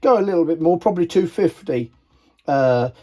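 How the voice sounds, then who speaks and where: A man with a croaky voice, hosting a casual tool review video in a chatty, rambling way and laughing at himself. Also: a little high, expressive, moderate pace, dark tone. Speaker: YouTube channel host.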